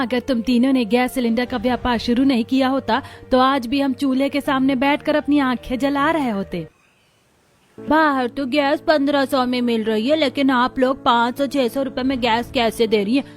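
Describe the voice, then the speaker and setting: A woman voicing homely village women of one family in a cartoon story, with expressive talk. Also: sits high, moderate pace, soft background music, lightly acted. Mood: explaining. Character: village women of a family, daughters-in-law and mother-in-law. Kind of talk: Hindi cartoon story dialogue.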